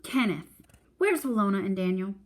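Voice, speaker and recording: A woman's voice: mid-range voice, animated, normal speed, natural voice. Elderly Southern granny, acted by a woman reader, storybook role, folksy, scolding, exasperated, fussy. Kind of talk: storybook read-aloud character voice.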